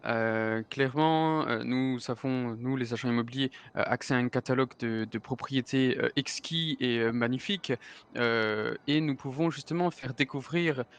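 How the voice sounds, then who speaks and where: A man's voice: mid-range voice, normal speed, clear, resonant tone, lightly acted. A man in his thirties roleplaying a German real estate agent who loves parties, speaking with a German accent in a formal, hesitant way full of fillers and German interjections. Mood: chatty, courteous. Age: 30s. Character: 33-year-old German man, suave real estate agent and party lover.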